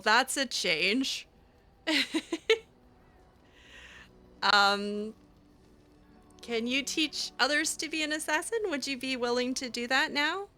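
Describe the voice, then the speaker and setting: A woman improvising in-character roleplay over a video game, speaking in a halting, stammering way. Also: fairly high pitch, bright, forceful tone. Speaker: roleplay gamer.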